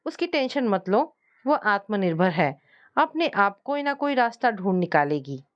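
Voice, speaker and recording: A woman's voice: mid-range voice, very animated, moderate pace, highs cut off, natural voice. A woman voicing an irritable, suspicious, controlling husband in a narrated domestic story, scolding and dismissive. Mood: possessive, curt.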